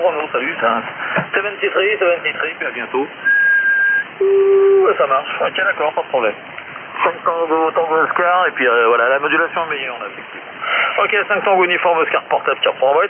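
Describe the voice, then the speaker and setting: Lively-pitched man over noisy shortwave radio. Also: narrow, tinny audio. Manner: colloquial, repeats acknowledgements. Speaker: amateur radio operator. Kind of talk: casual radio chat with call-sign spelling.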